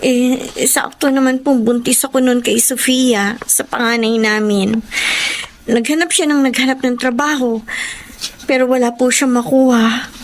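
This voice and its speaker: An actress playing a meek, apologetic young wife in a Filipino radio drama, speaking politely and hesitantly. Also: female voice, high voice, slight background noise.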